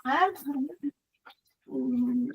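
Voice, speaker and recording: A woman's voice: sits high, extremely slow, breathy edge, poor audio. A woman with a Sri Lankan accent, a teacher chatting as co-host of an informal English lesson.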